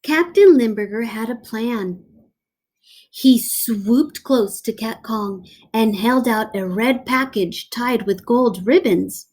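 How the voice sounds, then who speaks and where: A woman teacher reading a picture book aloud to children, telling the story in an animated way with playful asides. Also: fairly high pitch, takes it slowly. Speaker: elementary school teacher.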